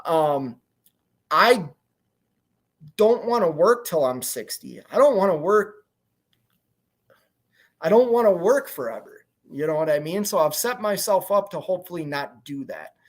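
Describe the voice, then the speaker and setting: A man's voice: on the high side, expressive, a little slow, long pauses. A man in his forties, a truck owner-operator, chatting candidly on a livestream in a rambling way and answering viewer comments. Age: 40s. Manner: rambling, candid, repeats "you know what I mean". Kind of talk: casual livestream chat reading comments.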